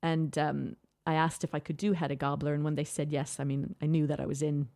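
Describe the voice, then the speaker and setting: A woman's voice: deep voice, level tone, a little fast, warm tone. A woman from Canada with a Canadian accent, a theatre director explaining her production in a radio studio interview with enthusiasm and long, flowing answers.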